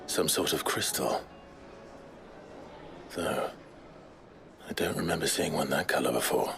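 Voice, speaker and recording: Casting: brooding warrior, very low and gravelly, terse and restrained, game cutscene with prominent music. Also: male voice, measured pace, exaggerated voice. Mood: grave, curious. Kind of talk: video game cutscene acting.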